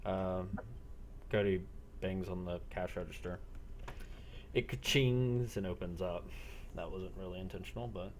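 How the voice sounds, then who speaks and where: A man narrating a role-play game as game master over online voice chat, halting and matter-of-fact. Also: low voice, slight background noise, low-quality recording.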